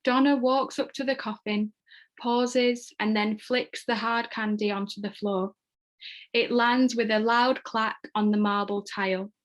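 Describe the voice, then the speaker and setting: A woman's voice: on the high side, steady tone, unhurried. A woman narrating screenplay stage directions at a table read. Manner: reads screenplay action descriptively.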